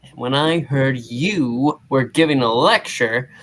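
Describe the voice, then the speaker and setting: A man's voice: mid-range voice, slow pace, a bit bright. A man voicing a confident, wry superhero in a dry, quippy manner, reading a comic book aloud.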